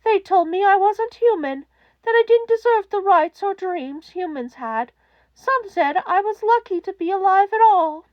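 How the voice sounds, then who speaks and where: A woman voicing a small, kindly male animal school principal in an audiobook, speaking gently, precisely and earnestly. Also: extremely high pitch, animated, a little slow, no echo, dull audio, pitched above the performer's own voice. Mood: quiet, earnest. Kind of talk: audiobook character acting.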